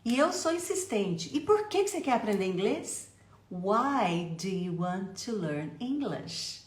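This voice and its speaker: A Brazilian woman with a Brazilian accent and a medium-pitched voice, running a lively English pronunciation lesson. She slows down to drill short phrases for the listener to repeat. The sound is a bit roomy and echoey. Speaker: English teacher.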